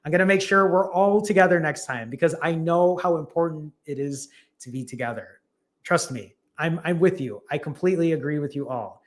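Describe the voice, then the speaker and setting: A man's voice: fairly high pitch, fairly quick. Upbeat man coaching his live-stream viewers. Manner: talks straight to viewers, upbeat and encouraging. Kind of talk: casual live-stream chat reading comments.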